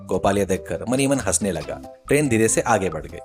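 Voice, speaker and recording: A man's voice: on the low side, expressive, a little fast, soft background music. A man narrating a scripted children's story as the storyteller.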